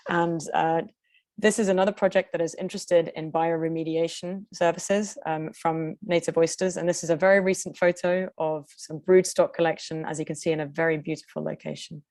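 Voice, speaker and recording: A woman with an English accent, speaking quickly with many fillers and restarts as she presents and answers questions on a video call. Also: deep voice, steady tone, darkish tone, no echo. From Denmark. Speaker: conference presenter. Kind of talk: webinar presentation and Q&A.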